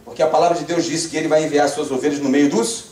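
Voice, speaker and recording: A young Brazilian man with a Rio de Janeiro accent, preaching a fervent revival sermon with call-and-response and bursts of shouting. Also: a little high, fairly even intonation, fast talker. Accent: Rio de Janeiro Brazilian Portuguese. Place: church sanctuary. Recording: echoey church from far off.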